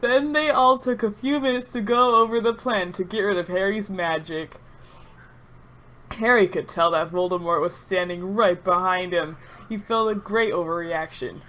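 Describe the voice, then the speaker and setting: A woman's voice: mid-range voice, normal speed, fairly bright tone. Female reader, mock-grave storytelling of absurd fan fiction, prone to giggling. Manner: mock-serious storytelling, often breaking into giggles.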